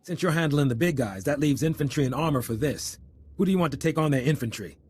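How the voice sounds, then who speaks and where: An actor playing a cocky, blunt military officer with a brisk, informal manner in a video game. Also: male voice, a little high, darkish tone, husky, no room sound. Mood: brisk briefing. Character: cocky, blunt male resistance fighter officer.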